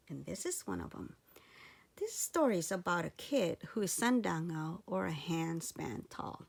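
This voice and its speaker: A woman reading a children's story aloud in an expressive storytelling style. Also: fairly low pitch, creaky.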